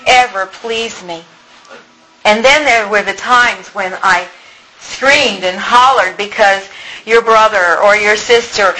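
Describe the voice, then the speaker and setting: Slow, bright-voiced woman pleading softly. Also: mid-range voice, low-quality recording. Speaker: speaker leading a healing apology exercise. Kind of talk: slow spoken apology prayer in a mother's voice.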